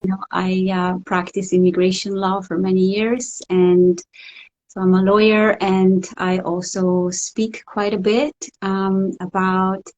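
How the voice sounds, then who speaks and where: A middle-aged woman from the Italian part of Switzerland with a Swiss Italian accent, speaking as an interview guest in a reflective, halting way with soft laughs. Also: mid-range voice, little pitch movement, dull audio. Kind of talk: casual interview over a call.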